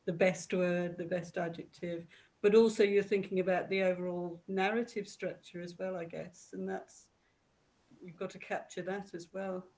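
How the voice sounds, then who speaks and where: A woman's voice: mid-range voice, darkish tone, rough recording. A woman who writes poetry, moderating a casual panel discussion and responding to the speakers.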